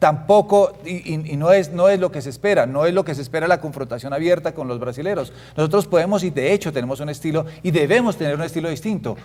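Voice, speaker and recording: A man's voice: fairly high pitch, fast talker, few pauses, darkish tone. A man arguing his case in a heated panel debate, insistent and repeating his phrases. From Colombia. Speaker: guest, director of an anti-corruption network.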